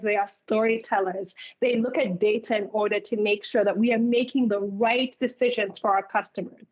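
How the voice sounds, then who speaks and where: A woman in her thirties from Uganda, giving a clear, organized keynote talk at a virtual hiring event. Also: mid-range voice. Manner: clear, organized presenter, speaking in lists. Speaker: keynote speaker and product manager. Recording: muffled video call audio.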